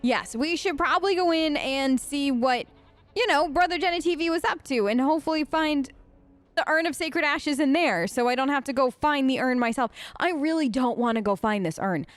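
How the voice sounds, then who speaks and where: A woman hosting a casual role-play let's play, talking in a playful, theatrical, halting way as her character. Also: sits high, animated, no room sound. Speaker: gaming host, role-playing as her character.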